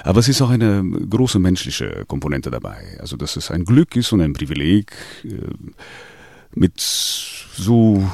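A man's voice: low voice, sing-song, a little slow, vocal fry, a little mellow, no echo, studio quality. A man, a singer in a band, answering radio interview questions hesitantly with many restarts. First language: Portuguese. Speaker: singer in a latin music band.

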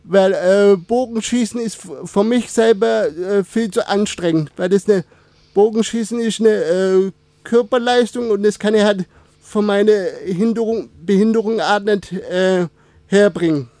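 A man in his twenties, Turkish as his first language, answering interview questions in a casual, halting way with many fillers and restarts. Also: sits high, warm tone, dry recording. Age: 20s. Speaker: radio guest.

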